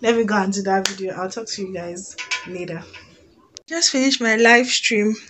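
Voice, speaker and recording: Woman, Nigerian accent, casual vlog chat, expressive exclamations, medium pitch, noisy home phone recording. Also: normal speed. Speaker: vlogger. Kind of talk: casual chatty vlog to camera with Nigerian Pidgin. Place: small indoor room at home.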